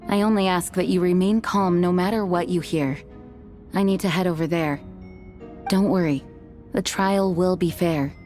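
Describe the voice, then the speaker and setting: A woman playing a dutiful young knight commander presiding over a trial, speaking in a formal, measured, authoritative way. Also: fairly low pitch, steady tone, lightly acted. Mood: composed, formal. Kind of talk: scripted game cutscene acting. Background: soft background music.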